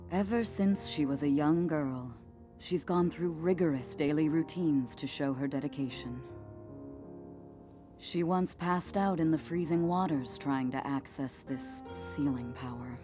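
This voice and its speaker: Deep-voiced, slow, commanding warrior chief in a game, soft music under her. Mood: earnest. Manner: low, measured and commanding. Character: proud adult Gerudo chief woman, warm mentor. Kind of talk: video game cutscene acting.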